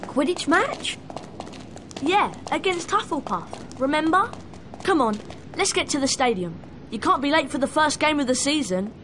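A woman's voice: sits high, very animated, moderate pace, noisy background. A woman with an English accent playing an eager, chummy young schoolboy wizard in a video game. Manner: eager, urgent. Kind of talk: video game cutscene acting.

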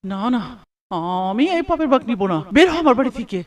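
A dramatic young husband acted by a man in a cartoon melodrama. His voice is high for a man and emphatic, with a hot temper in it. He sounds set well back from the mic. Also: sing-song, moderate pace. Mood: furious. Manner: emphatic, exclamatory. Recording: distant from the microphone.

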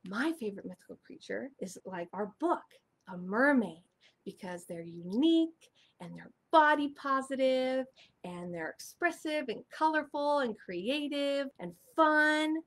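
A young woman reads and chats as a children's storytime host. Her voice is fairly high and very lively in pitch, delivered at an unhurried pace. She is expressive and enunciates for small children. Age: young adult. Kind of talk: animated read-aloud for young children. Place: small furnished room indoors.

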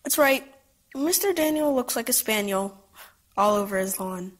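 A man's voice: high voice, animated, moderate pace. A performer playing a playful, boastful young boy in a dramatic story reading, eager and mischievous.

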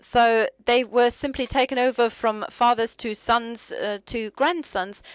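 Female interpreter, measured and pausing, higher pitch, dry booth. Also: fairly even intonation, clear, resonant tone, no echo. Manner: measured, with frequent pauses. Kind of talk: simultaneous interpretation.

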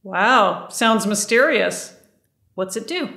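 An actress playing a cautious, skeptical government agent in an audio drama, speaking earnestly. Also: female voice, mid-range voice, lively intonation, slow, gravelly, pushed and bright, dry recording, studio quality, full character voice. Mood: intrigued. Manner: earnest, objecting. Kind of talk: scripted audio drama acting.

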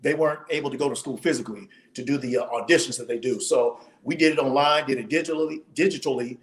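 Guest author, forties, male, animated storyteller on a home video call, voice tiring. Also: mid-range voice, fast. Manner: animated, storytelling with many restarts. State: losing his voice. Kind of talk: casual interview storytelling. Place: home room, indoors.

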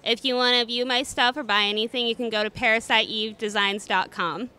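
A woman's voice: a little high, steady tone, a little fast, pushed and bright, slight background noise. A woman designer briefly and casually introducing her gothic couture line. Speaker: fashion designer. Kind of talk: press junket introduction.

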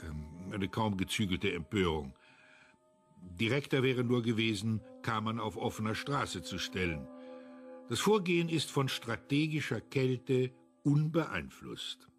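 An actor playing a self-assured, analytical investigator in a German radio crime drama, speaking in a formal, clipped manner. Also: male voice, fairly low pitch, lively intonation, unhurried, faint music behind. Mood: coolly analytical.

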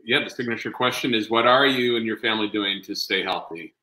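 A man's voice: on the low side, a little fast, bright, forceful tone, roomy sound, low-quality recording. A man in his fifties co-hosting a casual interview over a video call from a room at home. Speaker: co-host.

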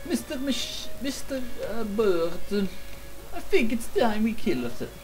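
A woman's voice: on the high side, big pitch swings, takes it slowly, darkish tone, soft background music, poor audio. A woman playing a video game, chatting in a goofy, mock-dramatic way with her tools as if they were old friends. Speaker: gaming YouTuber. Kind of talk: comedic let's play commentary.

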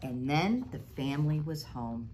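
Children's librarian, middle-aged woman, low voice with a lively, swooping delivery, faint room hiss. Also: moderate pace, low-quality recording. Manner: animated storybook reading with big sound effects. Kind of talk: storytime reading and craft demo. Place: library storytime room, indoors.

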